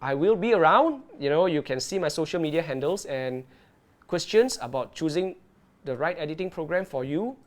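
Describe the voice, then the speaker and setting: A man presenting an underwater video tutorial and Q&A live. He talks in a lively way and keeps checking in with his viewers. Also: on the high side, normal speed. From Malaysia.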